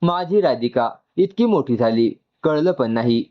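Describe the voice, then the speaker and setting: A man's voice: medium pitch, lively intonation, unhurried, lightly acted. Dejected middle-aged father voiced by a man, muffled. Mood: tender. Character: dejected father in his fifties, tender toward daughter. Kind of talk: audio storybook dialogue.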